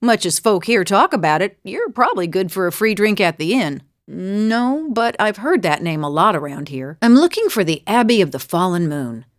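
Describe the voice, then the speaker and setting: A woman's voice: mid-range voice, sing-song, normal speed, few pauses, no echo, studio quality, close to an everyday voice. A woman voicing a bold, teasing female fighter and wanderer in a fantasy video game, with a wry, conversational delivery. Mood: wry, friendly.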